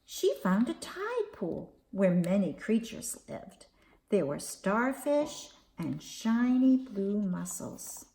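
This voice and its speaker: A woman hosting a children's storytime, reading aloud and leading counting rhymes in a slow, expressive, sing-song way. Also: medium pitch. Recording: echoey room with faint background hiss.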